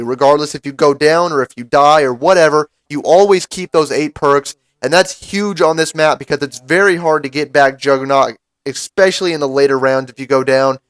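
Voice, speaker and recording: A young man with a raspy voice from a cold, giving casual strategy commentary over gameplay to his viewers. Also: on the high side, a bit bright, dry recording. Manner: casual, explanatory, addresses viewers as guys. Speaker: gaming YouTuber.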